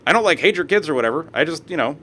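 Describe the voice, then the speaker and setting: A man's voice: a little high, animated, rapid-fire, bright, forceful tone. A young man streaming live, reading posts aloud and ranting about them with plenty of swearing. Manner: profane, ranting, reads posts aloud with asides.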